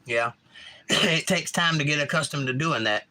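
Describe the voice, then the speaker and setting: Male stream host with a Georgia accent, bright and forward voice, loose rambling chat. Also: a little high, moderate pace, a little hiss or hum. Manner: rambling, reads chat comments aloud. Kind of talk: casual live stream chat.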